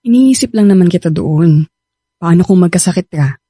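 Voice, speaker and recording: A woman's voice: on the low side, lively intonation, moderate pace, mellow, husky, no room sound, studio quality. An actress playing a loving, fretful young Filipina girlfriend in a radio drama, speaking tenderly and pleadingly. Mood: anxious, tender.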